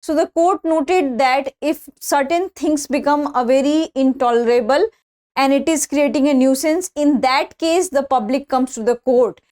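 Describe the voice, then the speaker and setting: A woman with a somewhat high-pitched voice and an Indian accent speaks as an online course instructor. She lectures in an explanatory, didactic way, moving point by point and repeating key phrases. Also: moderate pace, a little mellow. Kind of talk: explanatory news-analysis lecture.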